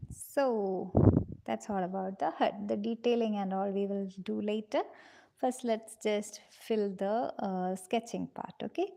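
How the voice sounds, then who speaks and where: A woman leads a live drawing class at an unhurried, careful pace. Her mellow voice carries a gravelly edge, and she stays gentle and patient, calling her students dears. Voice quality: low-pitched voice, in her own words. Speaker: drawing teacher.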